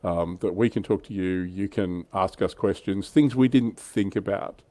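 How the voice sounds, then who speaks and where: Middle aged aussie guy hosting a hobby stream, deep voice, dry close sound. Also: moderate pace, mellow, no room sound. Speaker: livestream host. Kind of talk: casual livestream chat about tabletop wargaming.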